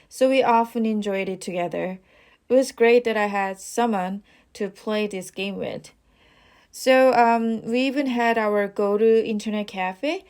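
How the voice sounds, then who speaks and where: A young woman speaking English, reading out speaking-test questions and answering each one. She talks slowly and evenly, at a middling pitch, with rehearsed fillers like "well" and "you know.". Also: darkish tone. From South Korea. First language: Korean. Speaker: IELTS practice test speaker. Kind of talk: model answers for a speaking exam.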